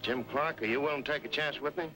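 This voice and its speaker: An actor playing a confident, sly henchman in an old-time western serial, with a brisk, assured delivery. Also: a little high, lightly acted. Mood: brisk, organizing. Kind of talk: 1930s-40s western serial acting. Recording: vintage radio recording with music.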